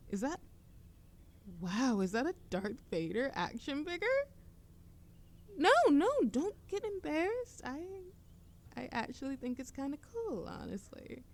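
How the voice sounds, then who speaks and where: Slow-talking, teasing young woman played by an actress. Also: a little high. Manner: playful, teasing, breathy laughs and drawn-out phrases. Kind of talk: intimate roleplay audio acting, one-sided dialogue.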